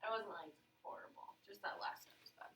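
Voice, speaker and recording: A woman's voice: brisk, fairly bright tone, slight background noise. A woman teaching a geometry class, upbeat and coaxing her students with questions. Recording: echoey classroom, mic at a distance.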